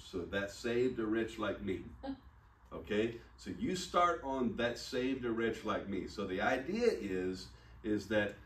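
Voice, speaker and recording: Man preaching in a roomy hall. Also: mid-range voice. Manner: folksy, jokey teaching with laughs. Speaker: pastor. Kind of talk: sermon. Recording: roomy church hall, distant mic, faint hiss.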